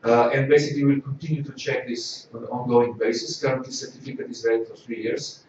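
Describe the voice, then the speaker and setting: A man from Slovenia giving a corporate results presentation, measured and full of filler "uh"s. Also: mid-range voice, fairly even intonation. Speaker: company executive presenting results. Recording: echoey hall, distant dull mic, faint noise.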